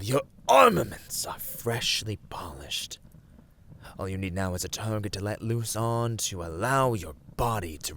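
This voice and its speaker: Cocky evil horned warlord for asmr rp, super theatrical, slow n bossy, big swings in pitch, goes breathy whispery. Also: male voice, a bit bright, exaggerated voice. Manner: grandiose, commanding, drops often into breathy whispers. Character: imperious horned demonic male warlord villain, proud, menacing, teasing. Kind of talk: ASMR villain roleplay, theatrical monologue.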